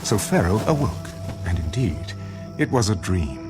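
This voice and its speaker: A low male voice narrates scripture with a very lively pitch range. The telling is solemn and dramatic, with music clearly underneath. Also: a little slow.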